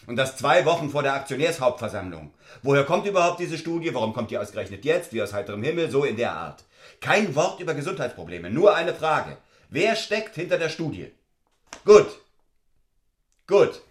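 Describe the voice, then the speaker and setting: A man's voice: medium pitch, big pitch swings, normal speed, roomy sound, natural voice. A man playing a brusque, cynical middle-aged lawyer in a stage play, curt, commanding and condescending. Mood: commanding, irritated. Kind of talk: theatrical stage-play dialogue.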